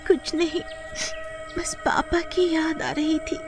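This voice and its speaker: Woman putting on a high, sad little village girl. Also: flat delivery, slow, music in the background. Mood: sad, wistful. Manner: plaintive, gentle. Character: sad, soft young village girl missing her father. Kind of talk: animated story acting.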